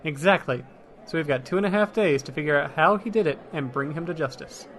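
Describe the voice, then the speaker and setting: An actor playing a matter-of-fact fire mage turned detective, talking conversationally in a comedic fantasy audio drama. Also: male voice, a little high, a little fast, fairly bright tone, lightly acted. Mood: determined.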